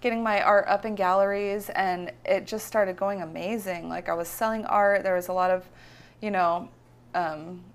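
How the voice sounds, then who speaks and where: A woman's voice: medium pitch, steady tone, moderate pace, smooth. A woman from California talking casually about her life and art in an interview, rambling with many fillers and restarts. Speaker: visionary artist guest. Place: her home.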